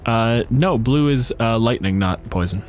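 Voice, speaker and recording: A man's voice: mid-range voice, measured pace. A man running a tabletop fantasy game, moving between dramatic descriptive narration and casual, sweary table talk. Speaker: game master. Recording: loud music bed behind voice. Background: background music.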